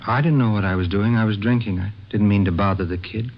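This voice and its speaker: An actor playing a nervous, evasive drinker under police suspicion in a 1950s radio drama, hesitant and pleading. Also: male voice, fairly low pitch, fast talker, close to an everyday voice. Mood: nervous, defensive. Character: nervous, evasive drinking man suspected of killing children. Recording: muffled old radio recording, faint noise.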